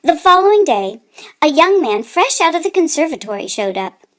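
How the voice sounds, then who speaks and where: A woman reading a children's story aloud with deliberate, dramatic emphasis. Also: high-pitched, big pitch swings. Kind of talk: expressive read-aloud narration for children.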